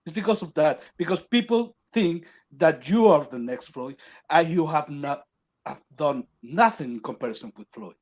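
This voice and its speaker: A man debating boxing who hesitates briefly and then presses his point firmly. His voice sits a touch high. He sounds casual but insistent. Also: expressive. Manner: halting start, then firm and emphatic.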